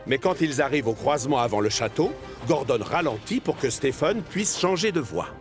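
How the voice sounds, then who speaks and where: Lively male cartoon storyteller. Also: mid-range voice, moderate pace, music in the background. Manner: warm storytelling, playful. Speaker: narrator. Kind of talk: scripted children's cartoon narration.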